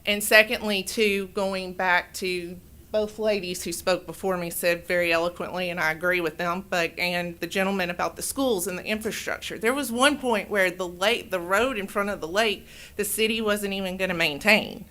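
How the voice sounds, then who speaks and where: A woman giving public comment at a city meeting into a podium microphone, loud and rambling, often correcting herself. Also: medium pitch, steady tone, moderate pace. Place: city council chamber.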